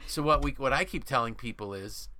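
A man's voice: mid-range voice, fairly quick, sharp tone. An American man co-hosting a casual business podcast, explaining things through enthusiastic storytelling. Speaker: podcast co-host.

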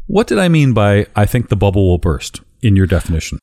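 A man with a low, deep voice that swings widely up and down as he talks. He jokes and speaks bluntly, swearing casually in a relaxed podcast chat. He sounds like a seasoned broadcaster having fun. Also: fairly quick. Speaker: podcast host.

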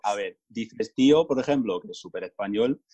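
A man's voice: fairly low pitch, lively intonation, brisk, a little mellow, breathy edge. A young Englishman with a Spain-Spanish accent, a native English speaker, chatting as a guest in an easygoing, rambling way with many restarts. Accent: Spain Spanish, learned as English speaker. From England. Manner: rambling, self-correcting, easygoing. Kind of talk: casual chat.

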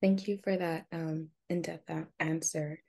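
A woman interviewer on a podcast, asking a measured, prepared question. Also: deep voice, flat delivery, gravelly, darkish tone.

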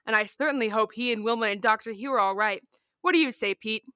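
A woman's voice: fairly high pitch, fast talker, pushed and bright, smooth, no room sound, clean studio sound. A young woman playing a peppy old-time radio announcer who addresses children. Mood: excited. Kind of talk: 1930s radio announcing and ad reading.

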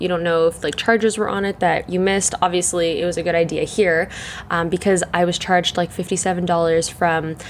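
A young woman hosting her solo podcast, chatting in a rambling way full of fillers, her voice croaky and congested from the morning. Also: on the low side, slight background noise. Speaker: podcast host. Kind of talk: casual solo chat.

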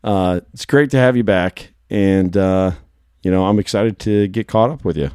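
Hoarse deep-voiced male podcast host. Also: warm tone, no room sound, clean studio sound. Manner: chatty, storytelling, quick bursts. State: hoarse from shouting. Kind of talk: casual podcast chat.

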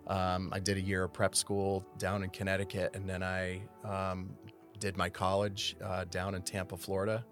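A man in his forties from Massachusetts, a city services manager giving an unhurried, detailed interview with frequent pauses. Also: low-pitched, monotone, a bit bright, faint music behind. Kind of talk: casual interview conversation.

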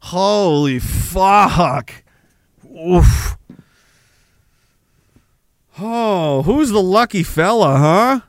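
A man's voice: high voice, animated, extremely slow, long pauses, no echo, studio quality. A man hosting a livestream, reading chat comments and riffing on them sarcastically, often breaking into laughter. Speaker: livestream host.

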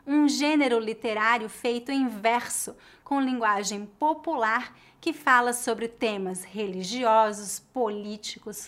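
Brazilian woman teaching, são paulo accent, slightly high voice, calm and clear. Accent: São Paulo Portuguese. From Northeast Brazil. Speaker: language teacher. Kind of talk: scripted educational narration.

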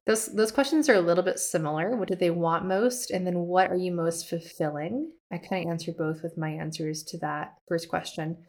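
A woman's voice: fairly low pitch, fairly even intonation, normal speed, hardly any pauses, darkish tone. A woman hosting an art business podcast, talking casually to listeners with self-deprecating humor and upbeat emphasis. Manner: chatty, laughs at herself, upbeat emphasis. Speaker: podcast host and art educator. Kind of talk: casual teaching monologue.

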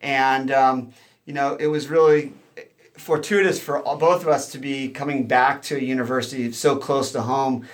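A man from Southern California, an associate professor, answering interview questions in a steady, explanatory way with frequent fillers. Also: mid-range voice, normal speed.

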